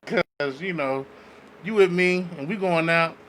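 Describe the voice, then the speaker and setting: A man chatting casually with friends in a blunt, animated, slangy way. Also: on the high side, measured pace, slight background noise, rough recording. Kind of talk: casual group chat.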